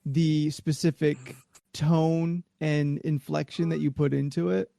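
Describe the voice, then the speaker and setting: A man's voice: fairly high pitch, little pitch movement, measured pace, creaky, dark tone, dry recording, clean studio sound. A man co-hosting a podcast, talking in a rambling way with lots of fillers. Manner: rambling, hesitant, filler-heavy. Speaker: podcast co-host. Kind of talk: casual chat on a live stream.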